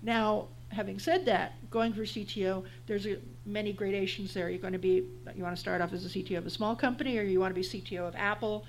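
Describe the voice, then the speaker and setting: A woman's voice: medium pitch, fairly even intonation, fast talker, few pauses, low-quality recording. A woman in her fifties speaking on a panel, giving blunt, encouraging career advice. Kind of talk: panel discussion.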